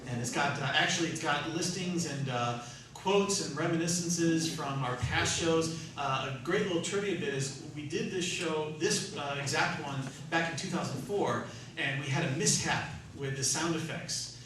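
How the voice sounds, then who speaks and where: A man hosting an audience question session for a theatre troupe, telling anecdotes in an animated, joking way. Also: on the high side, normal speed. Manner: animated, joking, often laughing. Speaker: radio theatre troupe founder and host. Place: live hall with an audience. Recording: echoey hall, distant mic, noisy.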